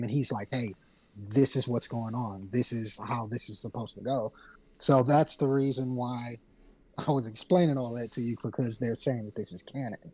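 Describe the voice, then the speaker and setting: A man's voice: mid-range voice, darkish tone, rough recording. A man on a casual panel podcast, explaining video game lore earnestly and at length.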